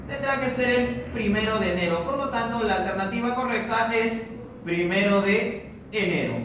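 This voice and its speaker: Man teaching, slow and methodical, distant mic, very echoey room, background noise. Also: high-pitched. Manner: slow, methodical, explains step by step. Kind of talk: recorded online training lesson.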